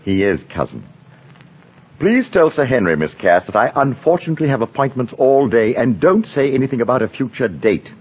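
An actor playing a courteous, proud middle-aged hotel director in a vintage radio drama, formal and brisk. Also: male voice, mid-range voice, lively intonation, husky. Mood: brisk, businesslike. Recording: dull old radio recording, faint music.

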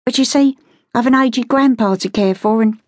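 Narrator voicing a high-pitched, defiant beggar girl. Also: moderate pace, dry recording, lightly acted. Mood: humble, pleading. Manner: streetwise, uneducated speech, guarded and cheeky. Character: poor, wary, defiant sixteen-year-old London beggar girl.

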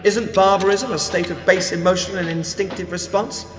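Man playing a highish, proud military officer. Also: fairly even intonation, normal speed, natural voice. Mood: smug debating. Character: confident military officer, proud, intellectual trophy hunter. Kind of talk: sci-fi audio drama acting. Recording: echoey hall, faint music behind.

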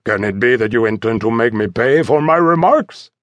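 A man's voice: mid-range voice, expressive, moderate pace, a bit bright, no room sound, natural voice. A male reader acts out a refined young nobleman for an audiobook. He sounds languid and superior, choosing his words formally and with disdain. His intonation moves expressively, and the sound is close and dry. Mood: haughty, offended. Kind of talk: audiobook character voice.

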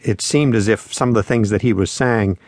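A man's voice: on the low side, brisk, a little mellow, no echo. A man talking in a reflective, conversational storytelling way as a musician being interviewed, acting out quotes as he goes. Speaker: musician and spoken-word performer being interviewed.

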